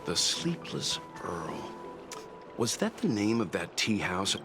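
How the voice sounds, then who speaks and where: A low-pitched, composed gentleman from a video game. He speaks courteously and calmly, with music clearly heard behind him. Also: slow pace. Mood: musing.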